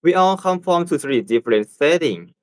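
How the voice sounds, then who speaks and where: A man's voice: a little high, expressive, normal speed, dry recording, rough recording. A nineteen-year-old man with a Thai accent, reading a scripted class presentation and prompting his classmates. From Thailand. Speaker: student presenter.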